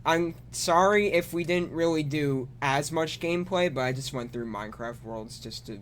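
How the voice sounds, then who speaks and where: A man hosting his own gaming channel, who talks hesitantly in a casual chat and often trails off softly. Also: on the high side, normal speed. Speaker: channel host.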